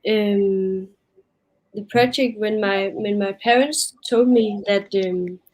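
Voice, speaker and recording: A woman's voice: mid-range voice, slow, darkish tone, poor audio. A young Danish woman presenting her photo project on a video call, hesitant and a bit nervous, with many fillers. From Denmark. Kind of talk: webinar presentation.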